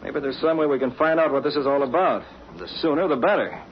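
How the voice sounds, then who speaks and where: An actor playing a brisk, commanding young newspaper publisher in 1940s radio drama, crisp and authoritative. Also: male voice, fairly high pitch, animated, natural voice. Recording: dull, noisy 1940s radio recording.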